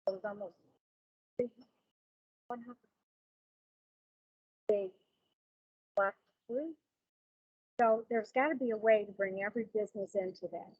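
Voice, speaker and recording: A woman's voice: mid-range voice, a little slow, long pauses, darkish tone, breathy edge, no echo. A woman who is a business association member, talking informally in a meeting discussion on a muffled recording.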